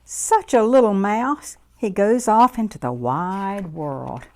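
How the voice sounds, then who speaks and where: A woman reading a picture book aloud to young children in an expressive, deliberate, sing-song way. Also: medium pitch. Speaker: children's story reader.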